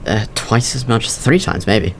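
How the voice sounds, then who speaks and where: Young male, actor as anxious student job applicant, audio drama: lowish pitch, halting, awkward, noisy ambience. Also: expressive, creaky. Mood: shyly keen. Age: young adult. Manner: hesitant, stammering, awkward.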